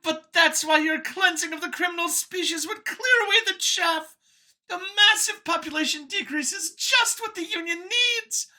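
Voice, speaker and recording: A woman's voice: very high-pitched, normal speed, pushed and bright, pitched above the performer's own voice, full character voice. A woman voicing a nervous, boastful female alien scientist from an audio story, whiny and gushing as she justifies her elitist views. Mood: fervent, self-righteous. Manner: gushing, over-eager justifying.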